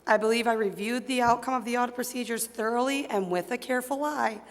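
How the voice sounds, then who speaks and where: Female, acting as an audit partner under questioning. Higher register, matter-of-fact and composed, echoing hall. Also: fast, close to an everyday voice. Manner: matter-of-fact, conversational, careful. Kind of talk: amateur dramatization read on stage. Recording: echoey hall, long reverb.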